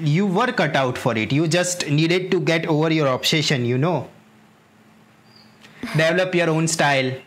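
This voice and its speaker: A woman's voice: sits low, slow pace. A woman streaming a game, giving casual, chatty, laid-back commentary. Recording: faint noise, game audio behind. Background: game dialogue and ambience.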